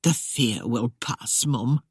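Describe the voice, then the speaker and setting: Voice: mid-range voice, very animated, normal speed, slightly rough, dry recording, studio quality. A reader doing a coaxing old-fashioned housekeeper. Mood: gentle. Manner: rustic old-fashioned speech. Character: coaxing older housekeeper woman, gentle but insistent.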